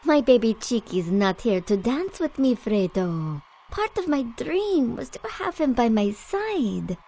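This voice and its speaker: A woman voicing an earnest, wistful young singer in an animated film. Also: fairly high pitch, unhurried, heavily acted.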